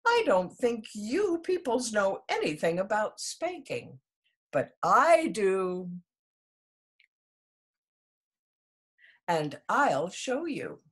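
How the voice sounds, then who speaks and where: A woman's voice: medium pitch, very animated, takes it slowly, husky, full character voice. A woman voicing a polite, eager and cheeky young male elephant child in a children's storybook reading. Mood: cheeky, defiant. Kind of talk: children's storybook read aloud.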